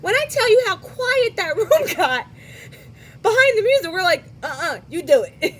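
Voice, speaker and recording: A young woman with a hoarse voice from singing at a concert, hosting a podcast and talking casually with quick asides and self-corrections. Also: very high voice, sing-song. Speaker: podcast host. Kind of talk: casual chat recounting a concert.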